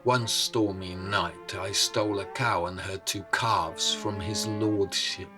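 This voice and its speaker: A man telling a tale as a storyteller, in a measured, theatrical way with suspenseful pauses. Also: sits low, fairly even intonation, sharp tone. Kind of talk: dramatic oral storytelling over music. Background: instrumental music.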